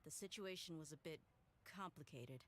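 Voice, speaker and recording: A woman's voice: deep voice, moderate pace, fairly bright tone. An actress playing a blunt, spirited mercenary woman in a video game, bold and direct. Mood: reporting, hesitant. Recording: game dialogue over background music.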